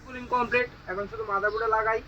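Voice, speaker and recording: A man with a strikingly high voice and a Tripura Bengali accent talks at a quick clip. He explains repair work informally, as a hands-on technician, repeating his assurances with emphasis. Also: fairly even intonation, pushed and bright, dull audio, a little hiss or hum.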